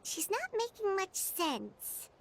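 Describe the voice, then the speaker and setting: A woman's voice: very high voice, big pitch swings, slow, a little hiss or hum, full character voice. A woman voicing a tiny, nosy floating female companion who talks about herself in the third person, from a video game. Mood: teasing. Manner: bouncy, teasing, refers to herself in third person. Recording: game audio with clear background music.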